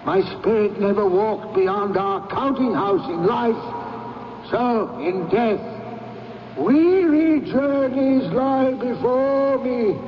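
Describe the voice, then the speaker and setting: An actor playing the tormented, mournful ghost of a dead businessman in a radio drama, speaking in a solemn, drawn-out wail. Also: very high-pitched. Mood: anguished. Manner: slow, drawn-out, wailing, solemn. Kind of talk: 1970s radio drama acting. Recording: echoing, noisy, muffled radio recording.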